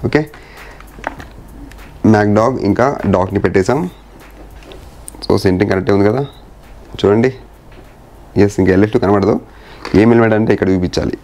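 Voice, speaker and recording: A man's voice: on the low side, steady tone, fairly quick, long pauses, dark tone, slight background noise. A man talking informally through a hands-on installation as a vlogger, mixing in English words and phrases. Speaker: motorcycle vlogger. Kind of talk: casual hands-on installation walkthrough.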